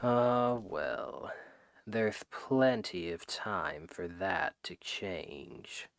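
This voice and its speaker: A man playing a suave, menacing, possessive demon king who woos his captive with slow, deliberate, softly condescending speech in an ASMR roleplay, close on the microphone. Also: low-pitched, expressive, full character voice. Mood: calm, possessive. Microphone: close microphone, dry.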